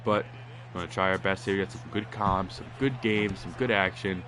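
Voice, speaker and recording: A man with a lowish, stuffed-up, nasal voice calls a football video game in a loose, rambling way. His voice jumps up on the big plays. There's clear noise underneath the recording. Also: steady tone, normal speed. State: congested, nasal. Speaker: gaming commentator.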